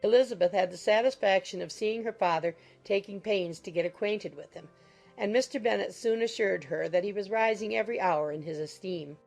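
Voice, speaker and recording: Measured woman narrating a classic novel. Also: mid-range voice, creaky. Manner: measured, literary storytelling. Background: soft music.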